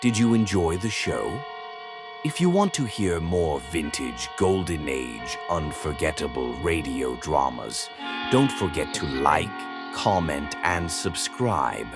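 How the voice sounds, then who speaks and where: A man's voice: extremely low pitch, big pitch swings, takes it slowly, over loud music. A man reading a scripted outro as a channel announcer.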